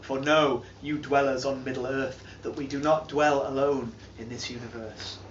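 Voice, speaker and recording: A man giving a dramatic reading of an old charm in a large hall, declaiming slowly and swelling to loud peaks. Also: medium pitch, fairly even intonation, slight background noise. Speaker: storyteller. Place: large timber hall, indoors. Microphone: camera filming from across the room. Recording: distant, roomy and dull.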